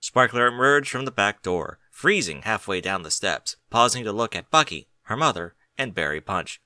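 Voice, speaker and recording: Bright, expressive man narrating a story. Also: lowish voice, moderate pace, no echo. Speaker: audiobook reader of fan fiction.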